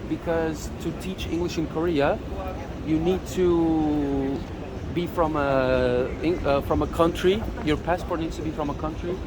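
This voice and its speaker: Measured man in his twenties on a busy street. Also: on the high side, fairly even intonation. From Portugal. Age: 20s. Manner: measured, hesitant, with many ums. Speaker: interviewee. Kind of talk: street interview. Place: city street outdoors with traffic. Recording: loud street noise.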